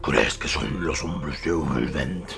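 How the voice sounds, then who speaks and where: A man voicing a gruff, bloodthirsty warrior with a low, drawn-out growl and a slow, mocking delivery, in an audio drama. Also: over music, heavily acted. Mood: suspicious, menacing.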